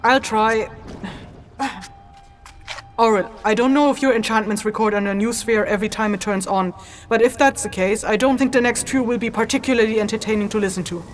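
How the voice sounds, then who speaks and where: High-voiced, no-nonsense expedition leader played by a woman. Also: brisk, natural voice. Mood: weary, dry. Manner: commanding, no-nonsense. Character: tough, practical female expedition leader, brusque but caring. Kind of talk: fantasy audio drama acting.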